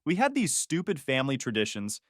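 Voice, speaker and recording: A man's voice: medium pitch, huge pitch swings, brisk, no room sound, studio quality. A man reading out a reddit story in an upbeat storytelling way, his voice dropping at the ends of sentences. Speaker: narrator. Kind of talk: scripted narration of a reddit story.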